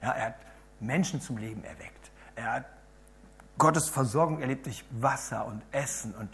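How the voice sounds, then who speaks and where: A hoarse man giving an animated sermon, chatty and asking the audience questions, swinging between loud bursts and low asides. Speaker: preacher. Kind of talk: lively sermon to a congregation.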